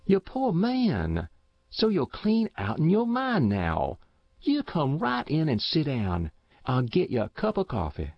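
A man's voice: a little high, huge pitch swings, measured pace, gravelly, no room sound, voice pushed up, exaggerated voice. A man doing a fussing stout old countrywoman. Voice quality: twangy rural speech. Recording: dull muffled dry recording.

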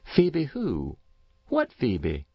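A man's voice: mid-range voice, wildly swooping pitch, drawn-out words, husky, dry recording, dull audio, pitched above the performer's own voice, exaggerated voice. A man voicing a stout, kindly old farm woman who fusses and exclaims in rural American speech for an audiobook. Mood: surprised. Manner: exclaiming, folksy. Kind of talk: audiobook character voice.

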